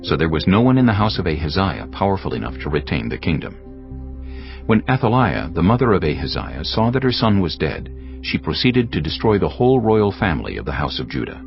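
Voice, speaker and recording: A man narrating scripture in a measured, solemn reading. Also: low voice, very animated, muffled recording, soft background music.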